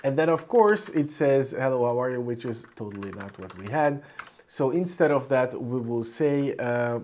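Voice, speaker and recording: Hungarian native guy doing a coding screencast, talks thru it as he types, medium pitch, some noise n key clicks. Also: expressive, normal speed, darkish tone. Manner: thinks aloud while typing. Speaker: programming tutorial host. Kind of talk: informal screencast coding tutorial. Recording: clear background noise, keyboard clicks. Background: computer keyboard typing and mouse clicks.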